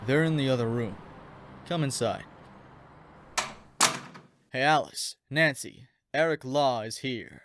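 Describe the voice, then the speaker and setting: A man's voice: medium pitch, lively intonation, slow pace, long pauses, very noisy. A man playing a casual younger brother guarding a safe house in an audio crime drama. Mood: guarded, friendly.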